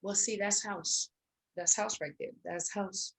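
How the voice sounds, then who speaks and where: A middle-aged woman with a mid-pitched voice. She chats as a co-host in an informal, reflective way, as in an unscripted conversation. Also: fairly even intonation, measured pace, a crowd in the background. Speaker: co-host of an online talk show. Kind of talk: casual reflective chat.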